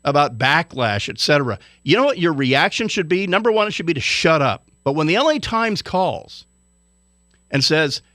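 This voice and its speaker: A man's voice: on the high side, big pitch swings, normal speed, a bit bright, no echo, clean studio sound. A man from Hawaii delivering an indignant, lecturing radio commentary rant.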